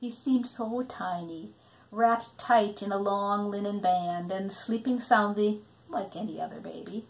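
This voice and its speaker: Female storybook narrator, mid-range voice, expressive and dramatic in delivery. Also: mid-range voice, a little slow, gravelly, rough recording. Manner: dramatic, hushing at tender or suspenseful moments. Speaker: storybook reader.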